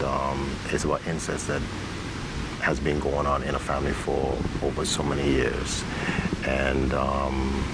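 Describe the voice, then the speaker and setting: A man answering interview questions in a halting, thoughtful, conversational way, speaking as someone who directs and acts in stage plays. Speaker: stage play director and actor. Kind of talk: interview answers about a community stage play. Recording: noisy recording with rumbling ambience.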